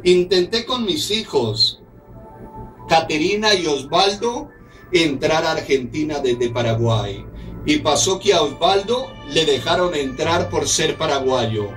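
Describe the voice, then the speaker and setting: A man's voice: fairly high pitch, lively intonation, a little slow, a bit bright, faint music behind. Middle-aged man hosting a radio show from a small studio, earnest and indignant, a little far from the mic. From Colombia. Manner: earnest, indignant, speaks as a compatriot. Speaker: radio host. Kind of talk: radio talk show, reading a letter aloud. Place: small office studio with a desk.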